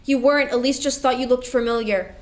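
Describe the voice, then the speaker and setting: A woman's voice: high-pitched, steady tone, a little fast, a little mellow, lightly acted. A woman acting a stern, burdened older sister in a staged play reading. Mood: exasperated. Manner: stern, scolding.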